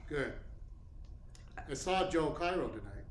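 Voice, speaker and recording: A man's voice: on the high side, lively intonation, slow. A man playing a hard-boiled, wisecracking private detective in a radio play, sardonic, blunt and casual in manner. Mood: wry, casual. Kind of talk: radio play acting in 1940s noir manner. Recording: roomy, echoey sound with faint noise.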